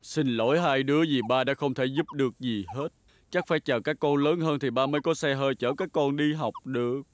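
A man's voice: medium pitch, brisk, lightly acted. A man voicing a meek, sheepish, wistful father in a cartoon dub. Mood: resigned. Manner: sheepish, hesitant. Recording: music behind the voice.

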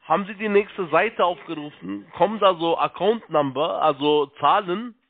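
A man working as a call-centre agent, giving halting step-by-step instructions, repeating himself and spelling things out. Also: high voice. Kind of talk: step-by-step phone sales instructions. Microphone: telephone. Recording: tinny narrow phone line.

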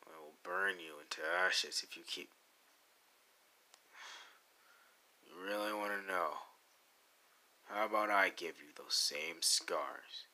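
Brooding young male villain for anime ASMR roleplay, played by a man: very low, gritty with fry, menacing, deadpan, grudgingly fond. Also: exaggerated voice, low-quality recording. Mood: irritated, threatening. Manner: deadpan, slow, irritable, teasing threats. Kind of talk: ASMR anime audio roleplay, second-person.

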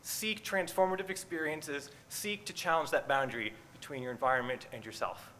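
A man's voice: a little high, fairly even intonation, brisk, heavy background noise. A man closing his conference talk with an earnest, inspiring challenge to the audience. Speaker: conference speaker.

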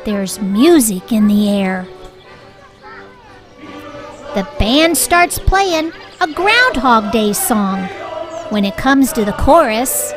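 A woman reading a children's story aloud in an expressive, rhyming sing-song way, with deliberate delivery. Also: on the high side. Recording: music bed under the voice.

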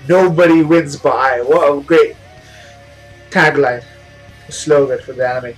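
A young man with a hoarse, flu-worn voice, casually reacting to anime episodes with lots of swearing. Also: a little high, a little slow, faint music behind, low-quality recording. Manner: casual, swears a lot, coughs now and then. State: hoarse, getting over a flu. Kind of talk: live reaction commentary. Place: small indoor room. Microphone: webcam, headphones on.

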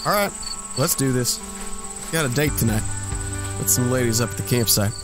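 A man's voice: mid-range voice, normal speed, over music, natural voice. An actor playing an easygoing, teasing brother and ladies' man in an audio drama. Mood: breezy.

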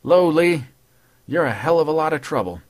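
A man voicing a broad, friendly male patrolman who talks in a folksy, plain-spoken, higher voice. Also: animated, normal speed, no room sound. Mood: eager. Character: broad, friendly male beat cop, simple and eager.

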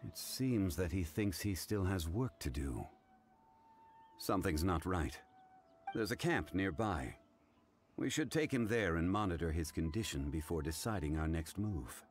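An actor playing a calm, learned man who speaks in a measured, formal way with a deep voice. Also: soft background music. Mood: grave. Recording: game audio with faint ambience.

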